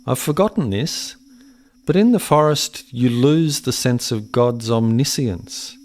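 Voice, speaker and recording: An actor playing a brooding settler in a radio play, recalling a forest childhood in measured, poetic monologue. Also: male voice, mid-range voice, lively intonation, darkish tone, natural voice.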